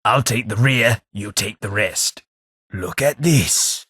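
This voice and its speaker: A man voicing a posh ghoul gentleman companion in a video game, dry, sardonic and fussy. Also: fairly low pitch, takes it slowly, slightly rough, dry recording, exaggerated voice. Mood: wry. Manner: dry, sardonic, prim understatement.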